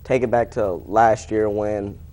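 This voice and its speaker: Low-voiced young male athlete in interview. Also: fairly even intonation, measured pace, mellow. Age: young adult. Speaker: wrestler being interviewed. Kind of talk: casual interview answers.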